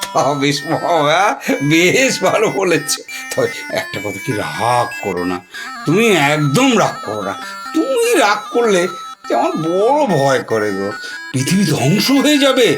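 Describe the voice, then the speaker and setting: A woman's voice: low voice, wildly swooping pitch, a little slow, full character voice. Woman playing wheedling old husband god, wildly swooping voice. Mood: coaxing, pleading. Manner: wheedling, stammering repetitions of words. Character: Hara, coaxing, playful old husband god Shiva. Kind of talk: audio drama dialogue. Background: music.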